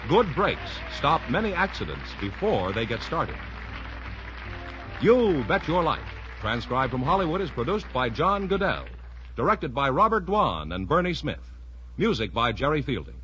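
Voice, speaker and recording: An American man announces in scripted 1950s radio style, his voice fairly high and very animated. The recording is dull, with faint music behind it. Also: normal speed.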